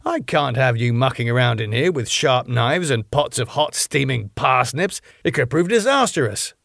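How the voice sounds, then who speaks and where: A man's voice: mid-range voice, lively intonation, moderate pace, vocal fry, fairly bright tone, no echo, studio quality. A man with a British accent voicing a fussy, pompous older male club owner prone to dramatic outbursts.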